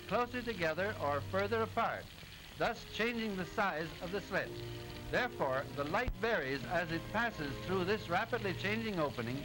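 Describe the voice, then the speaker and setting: A man's voice: high voice, rough recording. A man playing a genial professor who narrates an educational 1920s cartoon in a didactic, precise way. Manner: didactic, precise, measured explaining. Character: genial male professor explaining machines in a cartoon. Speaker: narrator, lecturer. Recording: old 1920s film soundtrack, music behind.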